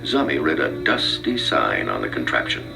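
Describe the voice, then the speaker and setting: A male narrator tells a children's storybook tale with very animated, dramatic intonation. His voice has some creak to it, and prominent music plays underneath. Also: rumbling low, normal speed. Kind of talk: scripted read-along children's narration.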